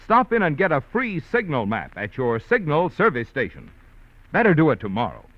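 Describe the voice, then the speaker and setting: A man's voice: on the high side, wildly swooping pitch, moderate pace, no echo, lo-fi sound. A man reading a 1950s radio commercial as a sponsor announcer, in a polished, salesy, upbeat manner. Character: smooth male sponsor announcer.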